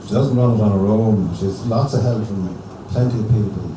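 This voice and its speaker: Low-voiced Irishman speaking in an echoey hall. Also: a little fast. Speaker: event speaker. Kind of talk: informal public thank-you speech. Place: hotel function hall. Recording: echoey noisy hall, distant camcorder.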